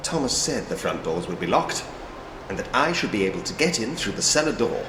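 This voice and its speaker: A genial British detective inspector from a Victorian mystery game. His low voice swings widely in pitch, with quaint, dryly whimsical courtesy. It rings with long reverb. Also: sing-song, brisk, noisy background. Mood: musing, dry. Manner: polite, quaint, dryly humorous. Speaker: player character in a point-and-click ghost story game. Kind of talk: video game voice acting. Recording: echoey reverberant hall sound with music. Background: music.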